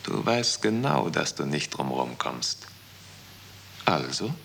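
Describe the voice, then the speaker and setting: A cynical, unruffled bureaucrat in a 1960s radio play. His voice is very low and gravelly, with lively, insinuating turns. A faint hiss lies on the recording. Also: slow pace, exaggerated voice. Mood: coolly pressing. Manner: sardonic, insinuating, coolly didactic. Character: cynical, unruffled male clerk of a murderous bureaucracy. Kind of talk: 1960s German radio drama acting.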